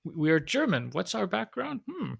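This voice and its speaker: A man's voice: high-pitched, huge pitch swings, normal speed, gravelly, husky, no room sound, studio quality. A Canadian man in his forties, co-hosting a casual talk show, curious and chatty, thinking aloud as he speaks. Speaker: co-host. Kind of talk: casual conversational chat.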